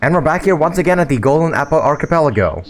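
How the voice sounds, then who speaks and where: Young male gaming host, hoarse from a sore throat, rambling casual commentary. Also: fairly high pitch, lively intonation, fast talker, soft background music. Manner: loose, with frequent fillers and repeats. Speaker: gaming YouTuber.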